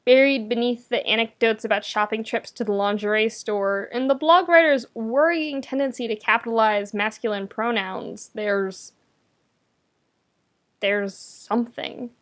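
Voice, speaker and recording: A woman's voice: a little high, unhurried. A woman reading fiction aloud as a narrator, with a wry, conversational and emphatic delivery. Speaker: podfic reader, narrator. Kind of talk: scripted narration of fan fiction, expressive audiobook reading.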